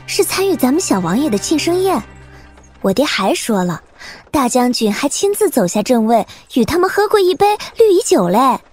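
A woman's voice: high-pitched, a little mellow. An actress playing a pampered, coy and jealous young noblewoman in a Chinese audio drama. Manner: coy, girlish chatter.

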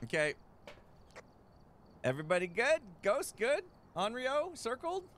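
A man's voice: very high voice, sing-song, unhurried, a bit bright, slight background noise. A man playing a game with friends, making matter-of-fact callouts and teasing. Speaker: co-player. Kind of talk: casual gaming chat.

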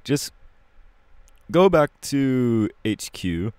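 A man voicing a laid-back but irritable young punk superhero bassist, slangy and drawling, in an audio roleplay. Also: sing-song, very slow, darkish tone, clear, resonant tone, no room sound. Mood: casual, dismissive. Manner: slangy, casual, drawling with hesitations.

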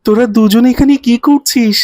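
A woman's voice: on the high side, moderate pace, dark tone, no room sound, studio quality, close to an everyday voice. A woman voicing a cheeky, unhelpful child in a children's cartoon, mocking and grumbling.

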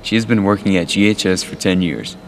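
A low-pitched man narrates a cooking contest from a script. His voice rings with long reverb, and a faint noise sits behind it. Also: fairly quick. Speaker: narrator of a school cooking show.

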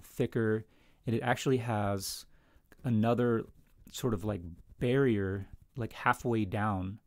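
A man in his thirties with a fairly low voice reviews gear in a casual, conversational way. He speaks close to a studio microphone, and the sound is very dry, with no room around it. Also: a little mellow, no echo. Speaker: tech reviewer. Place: small attic home studio, indoors. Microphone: close studio microphone on desk stand.

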